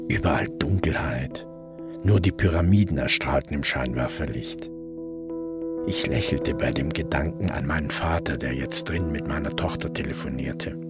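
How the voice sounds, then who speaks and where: A man acting as a brooding police detective who narrates his case in first person in a German crime radio play. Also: a bass voice.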